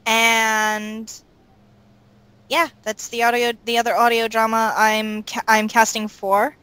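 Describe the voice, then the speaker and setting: A woman with a fairly high voice talks slowly and casually in an interview. The sound is dry and close, and her manner is playful and informal. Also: little pitch movement, fairly bright tone, clear, resonant tone, no room sound. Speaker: voice actor being interviewed. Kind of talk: casual chat.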